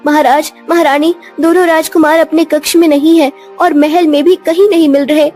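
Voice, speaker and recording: Woman as a high, anxious palace figure. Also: steady tone, normal speed, darkish tone, soft background music, natural voice. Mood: panicked, tearful. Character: distressed, tearful royal bringing news to king.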